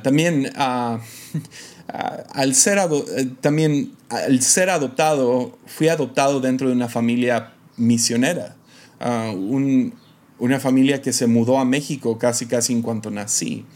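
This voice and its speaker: A man's voice: mid-range voice, takes it slowly, darkish tone. Guy with american accent hosting a podcast, lots of ums and restarts, laid-back reflective talk. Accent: American English. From Mexico. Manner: hesitant, many fillers and restarts, light laughs. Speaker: podcast host. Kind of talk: casual reflective monologue.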